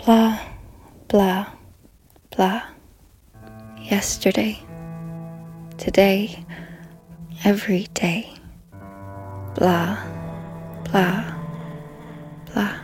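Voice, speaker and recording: A woman's voice: mid-range voice, steady tone, a little mellow, soft background music. A woman playing a shy, hesitant amateur poet in a radio drama. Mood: haunted, hushed. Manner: hesitant, halting. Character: shy, anxious, reluctant female amateur poet.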